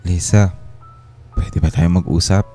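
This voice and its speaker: An actor playing a repentant, loving husband and father, gentle and earnest, in a Filipino radio drama. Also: low voice, steady tone, a little slow. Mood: contrite, earnest. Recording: guitar music bed under the voice.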